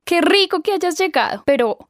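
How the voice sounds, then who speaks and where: A woman's voice: very high voice, lively intonation, normal speed. A woman playing a cutesy, gift-hungry young girlfriend in a radio sketch, with a Colombian accent. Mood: sweet, eager. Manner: cutesy, gushing.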